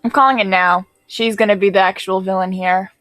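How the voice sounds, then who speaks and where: A woman hosting a reaction video, giving casual, excitable commentary full of exclamations. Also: mid-range voice, brisk, no room sound, soft background music, poor audio.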